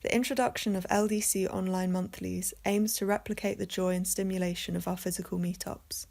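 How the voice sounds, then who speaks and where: A woman reading a scripted introduction for an event in a measured manner. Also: fairly low pitch, steady tone, creaky, darkish tone. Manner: measured read-out delivery. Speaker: event announcer.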